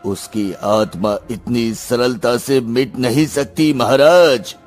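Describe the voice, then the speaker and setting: Guy as wise old-world sage advising the king, slow n formal, cartoon story, soft music behind. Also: mid-range voice, lightly acted. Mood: grave warning. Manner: formal, measured. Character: solemn, wise male royal sage, gravely cautioning.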